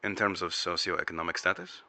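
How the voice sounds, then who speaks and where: A man's voice: deep voice, steady tone, fairly quick, pushed and bright, dry recording, exaggerated voice. A man playing a formal, probing intelligence agent who takes testimony in an audio drama. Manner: formal, inquisitive. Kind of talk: audio drama interrogation dialogue.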